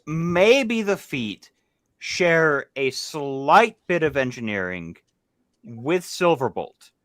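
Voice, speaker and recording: A man's voice: on the high side, big pitch swings, takes it slowly, fairly bright tone, no room sound, clean studio sound. A man chatting as a panelist on a livestream, often restarting and repeating his phrases. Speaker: panelist on a toy review livestream. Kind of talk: casual group discussion. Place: online livestream call.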